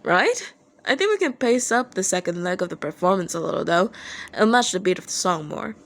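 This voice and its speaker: A woman's voice: fairly low pitch, big pitch swings, brisk. A woman voicing a short-tempered male band singer in a fan fiction reading, with a brusque, bickering manner. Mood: pleased. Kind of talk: fan fiction audiobook character voice.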